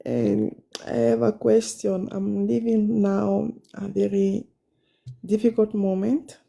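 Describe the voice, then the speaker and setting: A woman's voice: mid-range voice, takes it slowly, vocal fry, mellow. A woman caller with an Italian and French accent, a non-native English speaker, hesitating and searching for words as she explains a hard situation. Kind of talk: caller on a live stream.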